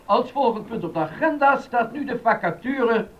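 A man's voice: extremely high pitch, lively intonation, moderate pace, over a noisy crowd. An actor playing a formal, declamatory eighteenth-century council chairman in a period drama. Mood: formal, measured. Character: formal council chairman calling gentlemen to order. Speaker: actor in a docudrama. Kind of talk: period radio-drama acting. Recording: dull lo-fi sound.

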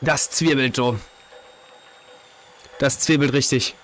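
A hoarse man in his thirties with a cold, casually commenting on a horror game at a desk microphone, reacting jumpily to scares. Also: mid-range voice, fairly even intonation, takes it slowly, fairly bright tone, a little hiss or hum. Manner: chatty, jumpy reactions to scares. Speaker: let's play host. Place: home streaming room, indoors. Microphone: desk microphone, headset on.

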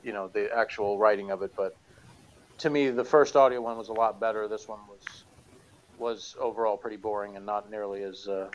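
A man's voice: on the low side, steady tone, fairly quick, low-quality recording. A man chatting casually at his home desk, wearing headphones, reviewing an audio drama in a rambling, self-deprecating way with lots of restarts. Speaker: show co-host. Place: home room with bookshelves, indoors. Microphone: headphones on, desk camera.

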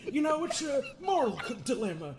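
A man's voice: very high voice, big pitch swings, fairly quick. A man voicing an unhinged, sardonic therapist with folksy menace, theatrical and mocking, in an improvised comedy dub of a horror game. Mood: mock philosophical.

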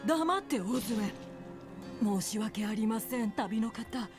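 A woman's voice: a little high, lively intonation, unhurried. Firm, polite fantasy townswoman. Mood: firm, apologetic. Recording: music under the voice.

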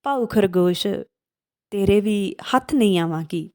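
A woman's voice: mid-range voice, measured pace, dark tone, close to an everyday voice. A woman voicing a boastful, taunting runaway piece of fried bread in a children's storybook tale.

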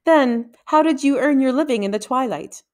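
A woman's voice: high voice, moderate pace, pitched above the performer's own voice, lightly acted. A haughty old wizard villain from a storybook, acted out by a woman. He puts his questions smugly, pointing each word. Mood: falsely polite.

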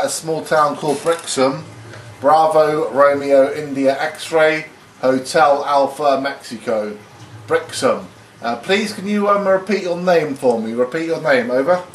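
In an echoey room with faint noise, a man talks in a slightly high voice as a ham radio operator. He is hesitant and often repeats his phrases. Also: a little slow. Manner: hesitant, repeats phrases and spells words phonetically. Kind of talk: casual ham radio contact chat.

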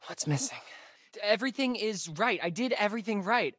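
A man's voice: high-pitched, animated, a little fast, fairly bright tone, no room sound, clean studio sound. A young man with an American accent playing a sarcastic, nervy camp counselor in a video game, speaking in a hesitant, stammering way. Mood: alarmed. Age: young adult. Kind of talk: naturalistic video game acting.

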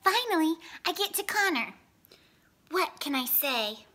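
An excitable little girl fairy princess from a storybook, acted out by a woman, high and bright. She gushes dramatically, proud of every sparkle. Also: measured pace, full character voice. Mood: nervous, triumphant. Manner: expressive, dramatic, gushing.

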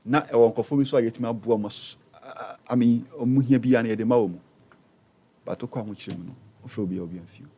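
A Ghanaian man in his forties, a radio guest telling his life story with animated delivery, switching between Twi and English in a studio. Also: mid-range voice, quick pace, breathy edge. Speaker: interview guest, business owner. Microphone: studio mic on boom arm.

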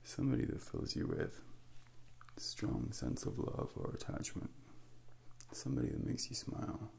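A man playing a suave, ominous Devil in a soft-spoken ASMR roleplay, slow and deliberate, close to the mic with hushed whispers. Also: medium pitch, huge pitch swings, creaky, exaggerated voice. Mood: coaxing, probing. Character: suave, ominous male Devil probing a soul. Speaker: ASMR performer. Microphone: close binaural microphone. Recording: close-up with faint background noise.

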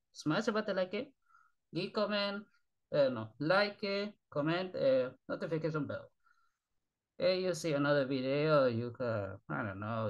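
A tired man, a native Spanish speaker, rambling in halting, repetitive broken English with sighs as he talks to his channel's viewers from a small bedroom on a webcam. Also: a little high. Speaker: channel host. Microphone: webcam at desk.

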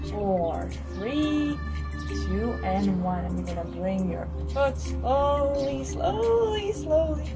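Woman guiding children's yoga over music. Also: mid-range voice. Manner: simple step-by-step instructions, encouraging. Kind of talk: guided movement lesson for children. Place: outdoors on a covered wooden deck. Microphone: camera a few steps away.

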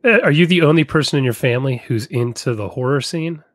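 A man's voice: medium pitch, quick pace, dry recording. A man hosting a podcast, asking casual interview questions into a close desk microphone. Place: room at home, video call.

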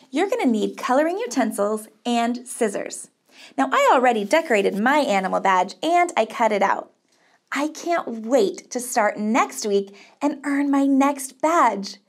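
Female children's craft host, middle-aged, high-pitched, encouraging, upbeat, speaking directly to kids. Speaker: children's camp counselor host. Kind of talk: children's craft tutorial presenting. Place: small studio set, indoors.

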